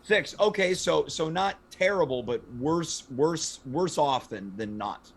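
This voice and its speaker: A man with a hoarse, worn voice hosting a comedic home show, talking in a theatrical, playfully boastful way. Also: a little high, expressive, slow pace. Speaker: host. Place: home studio.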